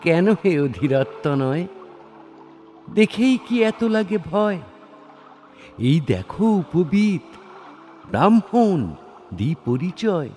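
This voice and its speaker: A man playing a crafty god disguised as a begging Brahmin, goading in formal dramatic verse. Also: a little high. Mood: sly, coaxing. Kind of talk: dramatic Bengali verse recitation. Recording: studio voice over soft music.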